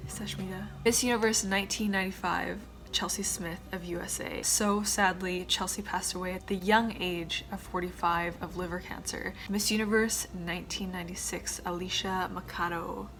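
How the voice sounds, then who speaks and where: A young woman with a lowish voice talks casually to her camera, chatty and friendly, now and then muttering quiet asides. She sounds a little stuffed up, and soft music plays faintly behind her. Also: fairly even intonation, moderate pace, few pauses, rough recording. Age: 20s. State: slightly stuffed up. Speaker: vlogger, former pageant contestant.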